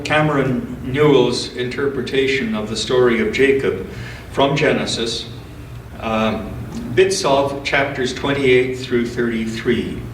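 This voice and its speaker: A man with a medium-pitched voice reads to a church congregation. He takes his time, speaking slowly and deliberately. The sound is roomy and slightly distant. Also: slight background noise. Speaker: scripture reader at a church service. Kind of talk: church scripture reading with informal introduction. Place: indoors in a church.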